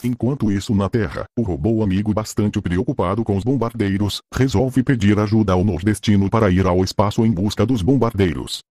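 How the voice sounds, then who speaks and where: A man's voice: fairly low pitch, a little fast, hardly any pauses, a little mellow, dry recording. A man with a Brazilian accent reading scripted narration for a cartoon adventure.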